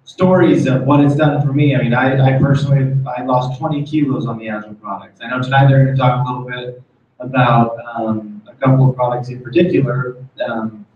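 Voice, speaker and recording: A man's voice: medium pitch, fairly even intonation, brisk, darkish tone. American male executive, informal motivational speaker, dull distant sound in a heavily reverberant meeting room. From United States. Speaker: corporate executive, guest speaker. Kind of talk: informal motivational product talk. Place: meeting room, speaking before small audience. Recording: very echoey room, distant muffled mic.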